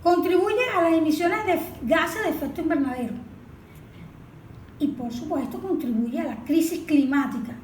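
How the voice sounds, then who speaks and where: A woman's voice: high-pitched, normal speed, darkish tone. A woman explaining as an expert in an interview, didactic, asking questions and answering them herself. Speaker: environmental expert being interviewed. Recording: echoey room with faint background noise.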